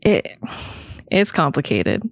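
Low-voiced woman chatting on a podcast, dry sound. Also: sing-song, slow pace, dry recording. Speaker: podcast co-host. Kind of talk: casual chat.

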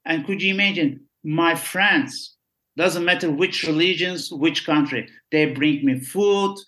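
Turkish man, middle-aged, broken English, earnest and pleading, bright, forceful voice. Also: fairly high pitch. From Turkey. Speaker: guest, former cruise ship staff. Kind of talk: casual interview chat.

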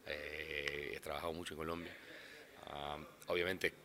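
Brief: Brazilian man, Portuguese native, very low voice with a bright, forceful edge, rambling interview answers. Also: little pitch movement, moderate pace. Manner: rambling, restarts often. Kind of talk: casual interview talk.

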